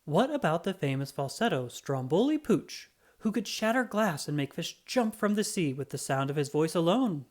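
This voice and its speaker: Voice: medium pitch, big pitch swings, normal speed, few pauses, darkish tone. A narrator putting on a haughty, emphatic storybook know-it-all girl. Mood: dismissive, lecturing. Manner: emphatic, dismissive, lecturing. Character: haughty, skeptical young female friend, storybook know-it-all.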